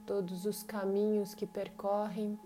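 Slow-paced Brazilian woman guiding meditation. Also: mid-range voice, level tone, mellow, a little hiss or hum. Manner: unhurried, long pauses between short phrases. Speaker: guided meditation leader. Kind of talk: guided meditation. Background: meditation music with singing bowls.

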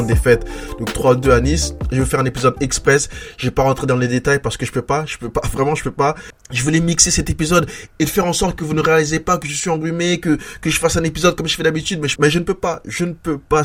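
A man hosting a solo football debrief podcast, congested with a head cold and a sore throat, talking frankly and often restarting his sentences. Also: on the high side. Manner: frank, repetitive restarts, stammers when tired.

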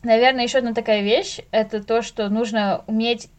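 A young woman chatting casually as a podcast guest, telling things in slangy, animated speech with the odd English word. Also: on the high side, brisk. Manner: animated storyteller, acts out little inner dialogues; slangy, drops in English words.

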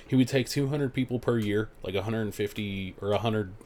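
A man's voice: on the low side, brisk, darkish tone. A young man co-hosting a podcast, chatting loosely and swearing while he lays out the history. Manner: loose, rambling, laughs easily, swears. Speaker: podcast co-host. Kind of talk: casual chat.

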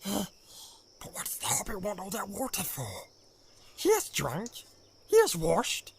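A man voicing a wretched, servile, whining creature that wheedles in a hushed voice slipping into whispers, as part of a story reading. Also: high-pitched, huge pitch swings, slow pace, creaky, crowd noise, pitched above the performer's own voice, heavily acted. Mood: grudging, puzzled. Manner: speaks of himself in third person, wheedling.

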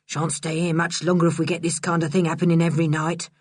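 A woman voicing a gruff, nervous older working-class man. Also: deep voice, level tone, quick pace, no echo, clean studio sound. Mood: shaken.

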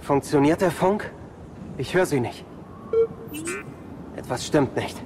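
An actor playing an earnest, wary young adventure hero in an audio drama, speaking conversationally. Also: male voice, a little high, takes it slowly, background noise.

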